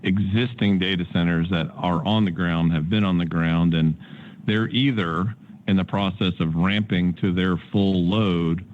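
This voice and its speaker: An American man, a company executive, answering analysts' questions in a measured way with halting repeats. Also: sits low, fairly even intonation. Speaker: company executive on an earnings call. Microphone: conference call. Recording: muffled, narrow-band conference call line.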